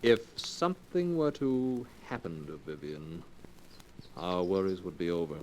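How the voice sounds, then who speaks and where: A man's voice: sits low, animated, unhurried, darkish tone. An actor playing a scheming young fortune hunter in 1940s radio drama style, coaxing his sweetheart with earnest, melodramatic persuasion. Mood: coldly persuasive. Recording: noisy vintage 1940s radio recording.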